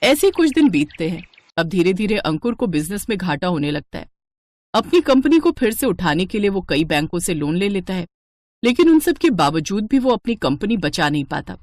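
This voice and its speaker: A woman narrates a moral tale from a script. Her pitch sits in the middle of the usual female range, and the recording is dry, with no room sound. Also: sing-song, moderate pace, a little mellow, no room sound. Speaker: story narrator.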